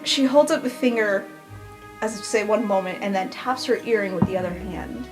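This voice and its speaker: A woman's voice: medium pitch, brisk. A woman playing a tabletop roleplaying game, improvising narration of what her character does. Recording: music under the voice. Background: music.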